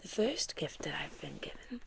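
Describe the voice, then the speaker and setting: A South African woman speaking in a soft, breathy whisper as an ASMR host playing a medical examiner, gentle and playfully tongue-in-cheek. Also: low-pitched, very animated, normal speed. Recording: clearly audible background noise, clicks.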